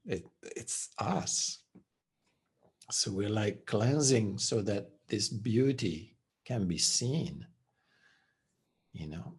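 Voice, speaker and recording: A man with a deep, slightly breathy voice shares reflections on meditation. He talks slowly and gently, and his sentences often drift down to a near murmur at the end. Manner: soft-spoken, unhurried, trailing off at phrase ends. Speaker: meditation teacher. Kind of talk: reflective spiritual talk.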